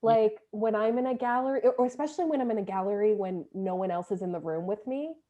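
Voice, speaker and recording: Slightly dull, muffled audio of a woman with a mellow, soft-edged voice at a middling pitch. She moderates an informal panel, feeling out her questions and thoughts in a casual, tentative way. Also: fast talker. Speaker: play director and panel moderator.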